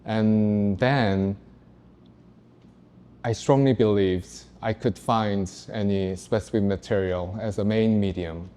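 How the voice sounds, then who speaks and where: A man in his thirties from South Korea, a native Korean speaker, telling his story as an artist in a halting, careful way with pauses. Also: fairly low pitch, dark tone, clear, resonant tone. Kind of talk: panel talk.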